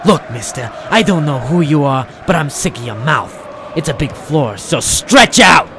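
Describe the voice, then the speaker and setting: A cold, threatening thug, with a somewhat high male voice. He barks curt orders like a crime-drama heavy from late 1940s radio. There is light noise from the old recording. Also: expressive, normal speed, a bit bright, raised voice, some chatter behind.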